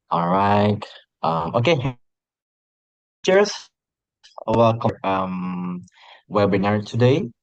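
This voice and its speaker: A man's voice: on the low side, dry recording. A man moderating an online teaching webinar, introducing the guest with many fillers and restarts. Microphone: video call. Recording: dull, dry online call audio.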